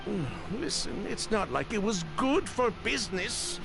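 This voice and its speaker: A man's voice: high-pitched, very animated, unhurried, gravelly, loud music behind the voice, heavily acted. Defensive merchant man, swooping voice. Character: defensive, evasive perfume merchant man with guilty secrets. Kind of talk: video game voice acting.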